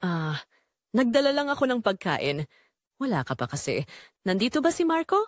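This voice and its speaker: A woman's voice: fairly high pitch, very animated, moderate pace, no echo, clean studio sound. A woman voicing a caring, coaxing retired mother with a secret, in an audio drama. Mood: evasive.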